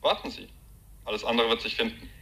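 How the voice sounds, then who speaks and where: An actor in a German radio crime drama playing a cold, mocking kidnapper who gives precise orders in a commanding, sardonic way. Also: male voice, medium pitch, sing-song, fast, sharp tone, low-quality recording. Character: cold, mocking male kidnapper making ransom demands by phone.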